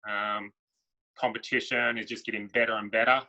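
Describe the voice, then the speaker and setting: A man's voice: fairly low pitch, normal speed, gravelly. An Australian man, a para athletics coach, talking casually and with lots of filler words about his squad. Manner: relaxed, many um fillers. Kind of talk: casual panel Q&A. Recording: dull lo-fi sound.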